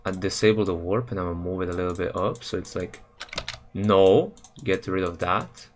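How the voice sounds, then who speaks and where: A young man from Serbia chatting casually on a live stream while he builds a game level, rambling in a relaxed, slangy way with lots of fillers and self-corrections. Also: deep voice, moderate pace, slight background noise. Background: keyboard and mouse clicks.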